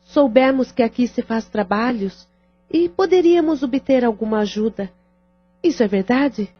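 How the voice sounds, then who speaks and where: A woman's voice: a little high, animated, normal speed. A woman playing a practical, supportive adult sister in an audio drama, polite, formal and direct. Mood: composed, polite. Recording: dull lo-fi audio with music underneath.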